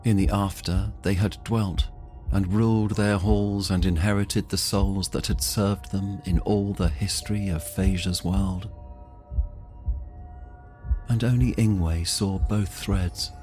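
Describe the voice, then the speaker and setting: Male narrator, low and unhurried, solemn fantasy prologue read over a music bed. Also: steady tone, darkish tone. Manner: slow, solemn mythic storytelling.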